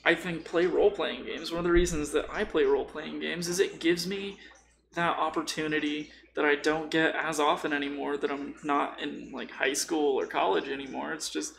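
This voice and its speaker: A man's voice: on the high side, fairly even intonation, normal speed, vocal fry, a bit bright. A young man in casual podcast chat, joking, irreverent and freely swearing. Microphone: boom-arm microphone.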